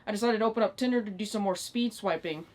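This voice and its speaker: A woman's voice: medium pitch, fast talker, a little mellow. A nineteen-year-old woman ranting in a casual, unscripted vlog style, exasperated and emphatic, with plenty of swearing. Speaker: online video creator.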